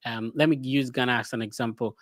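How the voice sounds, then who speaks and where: A man's voice: medium pitch, steady tone, quick pace, no room sound, studio quality. A man hosting a podcast, talking in a loose, rambling way and asking a guest questions. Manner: rambling, many fillers and restarts. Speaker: podcast host. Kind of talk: casual podcast interview.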